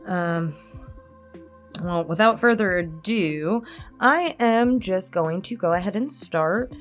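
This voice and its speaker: A woman with a fairly low voice hosts a casual chat for her audience. She talks informally and feels her way through her phrasing, with music faintly behind her. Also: expressive, slow pace. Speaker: host, roleplay ASMR voice actor. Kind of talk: casual chat to viewers in a pre-recorded Q&A.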